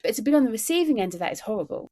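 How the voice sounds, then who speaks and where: A woman's voice: a little high, very animated, rapid-fire, warm tone. Earnest woman guest, emphatic and repetitive. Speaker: podcast guest, neurodiversity trainer. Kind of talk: conversational podcast interview.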